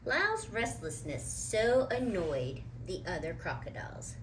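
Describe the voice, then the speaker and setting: A woman storyteller reads a picture book at a slow pace, with lively swings in pitch. She leans expressively on key words, with audible noise in the background. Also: low-quality recording. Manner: expressive, dramatic emphasis. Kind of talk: children's picture book read-aloud.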